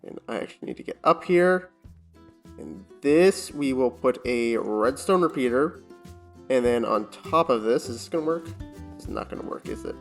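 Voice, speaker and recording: A man's voice: medium pitch, lively intonation, normal speed, faint music behind. A man in the middle of the male range chats his way through a building session. He explains each step to his viewers and mutters to himself as he goes. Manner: chatty, talks to himself while building. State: strained voice, recovering from voice loss. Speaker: Minecraft YouTuber. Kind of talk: casual gameplay commentary.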